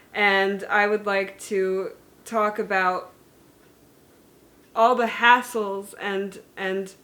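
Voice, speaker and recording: A woman in her thirties talks to her viewers in an earnest, candid way. She was born in Germany and raised in the United States and Hungary. Her voice sits in a middle range, and she pauses and corrects herself as she goes. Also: medium pitch, fairly bright tone. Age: 30s. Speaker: vlogger sharing her illness story. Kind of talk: personal talk to camera.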